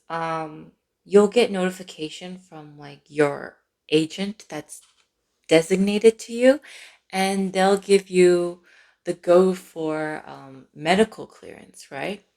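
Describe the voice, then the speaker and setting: A woman vlogger chatting casually and playfully through a personal story, sounding a little sleep-deprived, with animated asides. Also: mid-range voice. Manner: playful, animated asides with giggles and drawn-out words.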